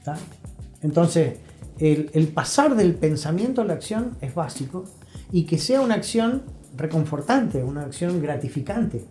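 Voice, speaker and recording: A man's voice: on the high side, big pitch swings, measured pace, a little mellow. An older man with an Argentine accent, speaking reflectively and emphatically as a guest therapist, explaining things didactically and repeating key phrases. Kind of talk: reflective interview talk. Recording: roomy echo, faint music.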